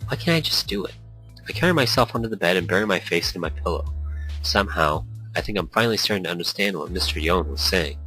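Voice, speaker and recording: A man's voice: low-pitched, expressive, pushed and bright, low-quality recording. A man reading a visual novel aloud as brooding first-person narration, slipping into brief character voices. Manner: brooding, introspective, quick shifts into small character voices. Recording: faint background music.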